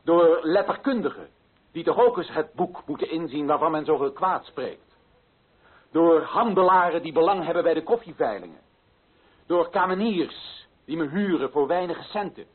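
Proud indignant author, husky higher-set voice, solemn theatrical declamation, radio play, muffled phone-like sound. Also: male voice, lively intonation, normal speed. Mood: defiant, emphatic. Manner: solemn, emphatic, rhetorical.

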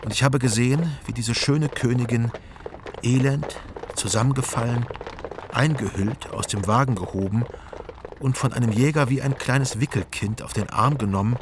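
An actor playing a sorrowful 19th-century eyewitness, recounting his memories in a measured, old-fashioned way in a radio play. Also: mid-range voice, background noise, natural voice. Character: sorrowful eyewitness to a sick queen's flight. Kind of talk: dramatized memoir in a radio play.

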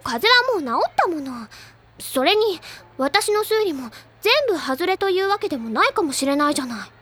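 An excitable high school senior girl in a radio play. Her voice is strikingly high and girlish. She talks with earnest, theatrical conviction, stubborn and full of fancy. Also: normal speed, full character voice. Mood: pouting. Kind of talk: audio drama dialogue, anime-style acting.

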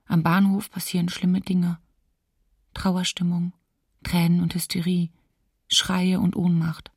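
A woman playing a resigned diarist chronicling occupation, husky and creaky, in a radio docudrama. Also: lowish voice, level tone, slow, a little mellow, no room sound, studio quality, heavily acted. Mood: resigned, sombre. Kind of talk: radio docudrama diary reading.

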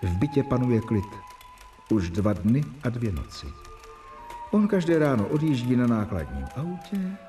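An actor playing a stern, volatile doctor who both narrates and acts in a radio drama. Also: male voice, medium pitch, very animated, warm tone, soft background music, natural voice. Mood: reflective narration. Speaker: narrator and character.

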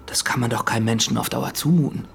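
An actor playing a petty waiter in a radio drama, polite, matter-of-fact and callously indifferent. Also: male voice, mid-range voice, quick pace, creaky, a bit bright, a little hiss or hum. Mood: officious.

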